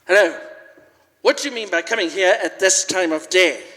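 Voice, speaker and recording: A man playing an excitable, emphatic old gentleman, a reformed miser, in a live radio play, with stammered eagerness. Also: sits high, moderate pace. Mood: mock stern. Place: large church, live performance. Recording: echoey church hall, live stage.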